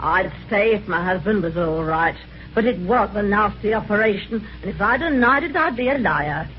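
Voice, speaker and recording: A woman's voice: mid-range voice, lively intonation, normal speed, natural voice. A woman playing a garrulous, deferential housekeeper in an old radio drama, chatty and rambling. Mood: gossipy. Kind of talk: 1950s radio drama acting. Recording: dull, noisy old radio recording.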